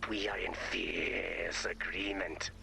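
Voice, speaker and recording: Deadpan, formal robot teammate. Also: male voice, low-pitched, slow, creaky, slight background noise, heavily acted. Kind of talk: video game voice acting.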